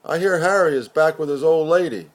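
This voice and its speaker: A man acts out a broke, pushy barfly pal in a poetry reading. The voice is pitched up and emphatic, with slangy, agitated phrasing. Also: fairly quick, lightly acted.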